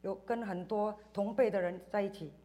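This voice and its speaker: A woman from Indonesia giving a personal church testimony in short phrases, pausing for an interpreter. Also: medium pitch, fairly even intonation, warm tone. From Indonesia, schooled in Singapore. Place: church service, speaking to congregation.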